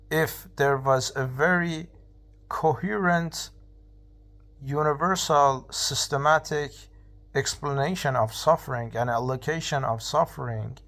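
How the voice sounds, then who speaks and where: A young man in his twenties vents in a casual vlog monologue. He talks slowly and swears freely, stopping and restarting his thoughts. His pitch sits in a middle range. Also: a bit bright. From Iran. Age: 20s. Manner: profane, ranting, blunt; halting, many fillers and restarts. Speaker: vlogger.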